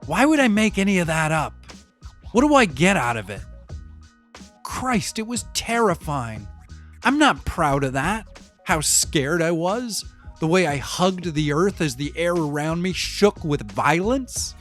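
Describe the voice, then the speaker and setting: Man playing a slangy, higher-voiced rattled eyewitness. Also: big pitch swings, a little slow, fairly bright tone, faint music behind. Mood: defensive, shaken. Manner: casual, slangy, profane, confiding. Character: rattled, defensive young male eyewitness, street-talking and wry. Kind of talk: first-person audio fiction monologue, conversational storytelling.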